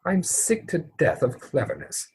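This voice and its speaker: A man acting an exasperated, earnest gentleman in a Victorian stage comedy, with formal, mannered phrasing, recorded at home over a video call. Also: a little high, huge pitch swings, unhurried, slightly rough, full character voice. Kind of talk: stage comedy dramatic reading.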